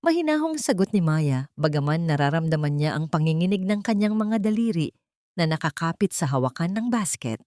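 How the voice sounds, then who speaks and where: A woman narrating a scripted dramatic story in a measured, literary manner. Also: low-pitched, sing-song, darkish tone, no room sound, studio quality.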